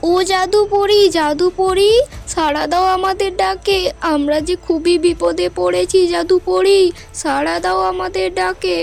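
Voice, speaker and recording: A woman's voice: very high-pitched, steady tone, a little slow, vocal fry, soft background music, full character voice. A woman voicing a brave, protective young elder sister in a fairy-tale cartoon, speaking in an earnest, imploring manner. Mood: pleading.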